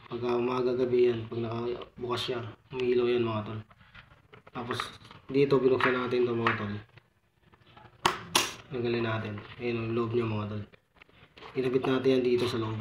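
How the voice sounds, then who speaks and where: A Filipino man talks in a relaxed, informal vlog style, as if to his buddies. His medium-pitched voice stays fairly monotone. The recording is roomy and echoey, with clear noise behind him. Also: dull audio. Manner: repeatedly addresses viewers as buddies, informal. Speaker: vlogger reviewing motorcycle gear. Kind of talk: casual vlog product walkthrough.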